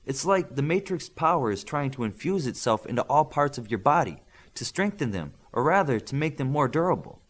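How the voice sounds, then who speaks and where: Male narrator playing young woman healer, audiobook superhero, higher pitched, bossy, clinical, brisk. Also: very animated. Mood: explaining. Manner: brisk, matter-of-fact explaining and scolding.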